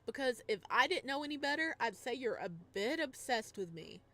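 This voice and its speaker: Sharp-tongued fugitive lead played by a woman, indignant and defiant, visual novel, backed by music. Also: fairly high pitch, sing-song, moderate pace, voice pushed up. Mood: scathing. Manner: scathing, indignant.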